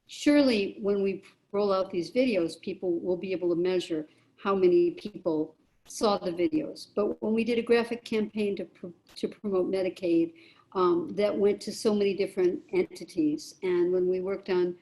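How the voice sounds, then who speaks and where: Unhurried woman with a mellow voice. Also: mid-range voice, poor audio. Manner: thoughtful, unhurried, many fillers. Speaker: arts producer. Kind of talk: casual group check-in on a video call. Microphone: video call.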